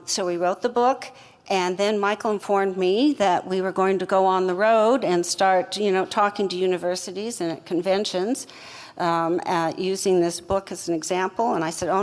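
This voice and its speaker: Older woman, co-founder guest, unhurried storytelling, ringing reverb. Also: medium pitch. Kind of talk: interview storytelling.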